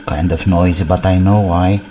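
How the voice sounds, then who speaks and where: A man repairing a machine at his workbench, quietly commenting to himself in short, muttered remarks. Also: low-pitched, level tone, a little hiss or hum. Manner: slow, muttered remarks to himself between tasks. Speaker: repair channel host.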